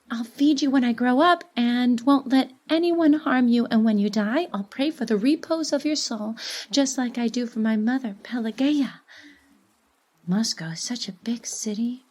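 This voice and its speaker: A woman's voice: on the high side, breathy edge, voice pushed up, exaggerated voice. A woman voicing a poor young orphan boy in a story reading, earnest, plaintive and pleading. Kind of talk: dramatised short story reading.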